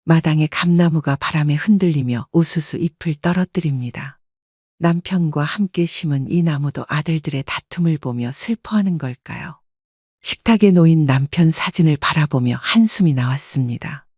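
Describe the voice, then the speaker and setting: Woman narrator, calm measured storytelling, breathy voice, dry close recording. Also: sits low, dry recording. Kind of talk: first-person story narration.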